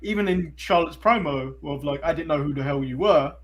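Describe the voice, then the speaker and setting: A man's voice: on the high side, brisk. A man from England, co-hosting a casual sports podcast and rambling a little as he repeats phrases. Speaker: podcast co-host.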